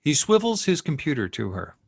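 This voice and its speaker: A man's voice: on the high side, sing-song, a little fast, gravelly, breathy edge, no echo. Man narrating a script, very dry sound. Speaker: stage directions narrator.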